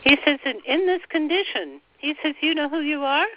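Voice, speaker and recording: A woman leading a slow guided meditation, softly passing on a spiritual guide's words phrase by phrase. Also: sits high. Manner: soft, relaying every phrase with "he says". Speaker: channeler relaying a spiritual guide. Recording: dull, dry lo-fi recording.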